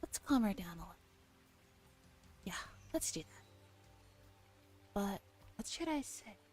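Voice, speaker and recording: A woman streamer with a mellow, warm tone reads a visual novel in a soft ASMR style. Gentle music plays behind her. She keeps the delivery relaxed and intimate as she moves through the narration. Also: medium pitch, unhurried. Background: soft background music.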